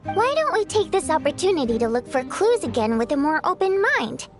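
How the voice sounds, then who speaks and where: Brisk young theater worker, high and animated, plain-spoken and casual, game scene with faint music. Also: female voice. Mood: thoughtful. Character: brisk, outspoken young woman working at a theater. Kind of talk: video game voice acting.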